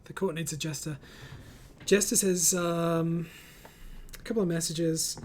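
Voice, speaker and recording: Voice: mid-range voice, steady tone, unhurried, slight background noise. A host of a casual online group chat, reading out comments and speaking in an encouraging, reassuring way over a voice call.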